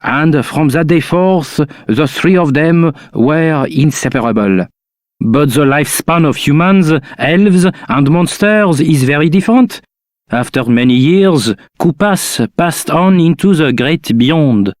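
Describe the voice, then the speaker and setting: An actor playing an earnest storyteller, narrating in the manner of an old legend. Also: male voice, on the high side, expressive, slow, no room sound, studio quality. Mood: earnest, solemn.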